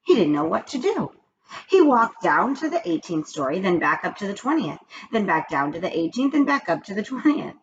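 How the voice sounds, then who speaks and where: A woman reading a children's book aloud in an expressive storytelling style. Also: mid-range voice, fairly quick. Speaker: storybook reader. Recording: dull lo-fi recording with background noise.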